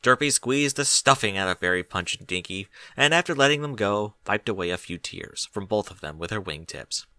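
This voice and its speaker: Bright-voiced man narrating a story with lively pitch. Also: a little fast, hardly any pauses, clean tone, dry recording. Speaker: audiobook narrator reading fan fiction.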